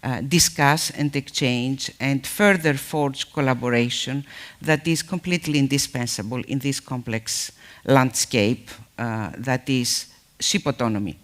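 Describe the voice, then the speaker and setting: A man giving a formal welcome address at a conference, measured and hesitant, with many fillers. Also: medium pitch. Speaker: conference speaker.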